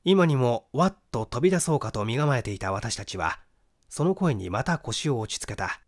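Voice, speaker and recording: A man's voice: medium pitch, lively intonation, moderate pace. A man giving a dramatic, scripted reading of a mystery novel as its narrator. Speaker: audiobook reader, stage actor.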